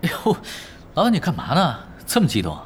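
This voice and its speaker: An actor playing a laid-back young esports expert in an audio drama, casual, patient and teasing, explaining in an analytical way. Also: male voice, fairly high pitch, huge pitch swings, a little fast, background noise. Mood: nonchalant.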